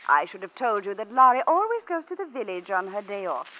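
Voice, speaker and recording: An actress playing an imperious, sarcastic middle-aged wife, condescending and haughty, in 1940s radio drama style. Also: female voice, medium pitch, big pitch swings, lightly acted. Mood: mocking. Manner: condescending, sarcastic, drawn-out endearments. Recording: muffled, noisy old-time radio recording.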